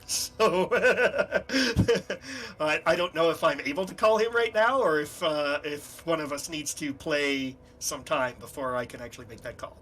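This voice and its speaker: A man's voice: fairly high pitch, unhurried, a bit bright. A man with a folksy way of talking, playing a tabletop game in casual talk.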